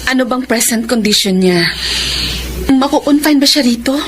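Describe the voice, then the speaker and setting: A woman's voice: high voice, moderate pace, a little hiss or hum, natural voice. A Filipino actress playing a fretful, insistent middle-aged mother in a Tagalog radio drama. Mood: worried. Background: music.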